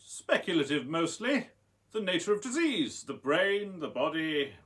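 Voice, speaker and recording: Guy playing brooding formal scientist telling his tale, radio drama, slow, very expressive pitch. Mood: genial, guarded. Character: exhausted, haunted Swiss scientist recounting his tragic tale.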